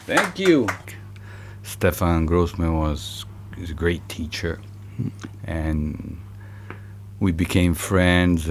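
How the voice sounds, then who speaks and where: A man from Italy, a musician guest in a studio interview, talking softly and hesitantly with many pauses and fillers. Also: very deep voice, slight background noise. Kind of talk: relaxed interview talk. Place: recording studio.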